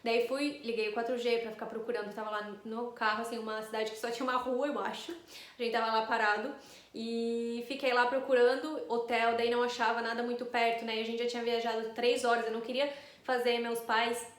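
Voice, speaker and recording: Woman, Brazilian, astrologer, casual vlog storytelling, chatty, rambling, higher voice, roomy echo. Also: level tone, fairly quick. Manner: chatty, rambling, frequent tag questions. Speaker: astrologer sharing a travel story.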